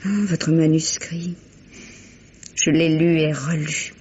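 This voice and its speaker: An actress playing a refined, emotional widow in a vintage French radio mystery, with genteel, expressive theatrical delivery. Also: female voice, sits low, slow, a little hiss or hum. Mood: admiring. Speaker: actor. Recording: muffled old radio drama recording.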